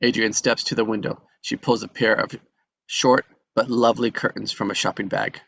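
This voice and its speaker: Low-voiced man reading stage directions. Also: steady tone, moderate pace, creaky, a bit bright, slightly rough, no echo.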